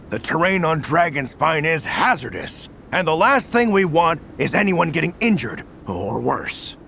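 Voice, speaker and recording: A man voicing a formal, upbeat race referee in a video game, announcing in a measured, drawn-out way. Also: high voice, a bit bright, a little hiss or hum, full character voice. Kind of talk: ceremonial event announcing, scripted game dialogue.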